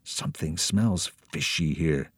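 A man plays a wary girl sleuth in a storybook, hushed and suspicious, whose voice leaps up shrilly when something startles her. The reading is dry and close. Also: a bass voice, takes it slowly, husky, no echo, heavily acted. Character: sharp, suspicious young girl, sister.

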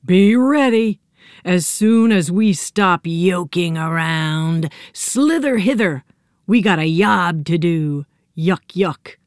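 A woman voicing a crowd of sneering, joking egg-yolk invaders, slow and gloating. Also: lowish voice, animated, dry recording, studio quality. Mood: gleeful. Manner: gleeful, mocking, drawn-out.

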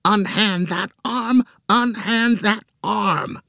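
A man voicing a menacing part-human squid monster that hisses and snarls slowly. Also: high voice, creaky, pushed and bright, no echo, studio quality, pitched above the performer's own voice, heavily acted. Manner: slow, hissing, snarling.

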